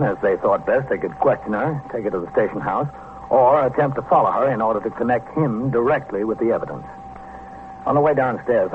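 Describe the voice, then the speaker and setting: An American man playing a stern police captain in a vintage radio crime drama, dry, measured and authoritative. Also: on the low side, natural voice. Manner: dry, authoritative, matter-of-fact. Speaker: police captain narrating his case. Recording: noisy, narrow-band old radio recording.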